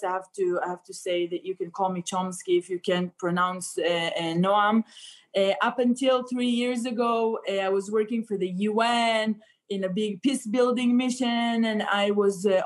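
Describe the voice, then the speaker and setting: A woman, a stand-up comedian chatting and joking on a video call with many fillers. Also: medium pitch. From Israel. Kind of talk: comic chat on a video call. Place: indoor room. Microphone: laptop webcam on video call.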